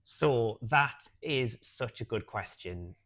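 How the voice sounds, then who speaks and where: A man's voice: mid-range voice, lively intonation, slow, a bit bright. A middle-aged man with a northeast English accent, chatting reflectively as an interview guest and repeating phrases for emphasis. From Newcastle, northeast England. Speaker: podcast guest, hospitality professional. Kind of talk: casual interview chat.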